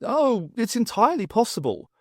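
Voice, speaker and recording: A man voicing a calm, middle-aged starship captain in an audiobook, measured and matter-of-fact. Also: very high-pitched, no room sound, studio quality, voice pushed up, heavily acted.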